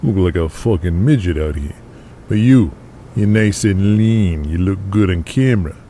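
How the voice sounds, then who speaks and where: A man playing a blunt, foul-mouthed eighties action movie star, talking in a halting, streetwise, casual way. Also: low voice, dark tone, a little hiss or hum, natural voice. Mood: blunt, impatient.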